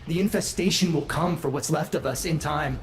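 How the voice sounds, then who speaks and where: A man's voice: fairly high pitch, brisk, slight background noise, lightly acted. Bitter, sullen outcast son in echoey space man acting. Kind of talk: video game voice acting.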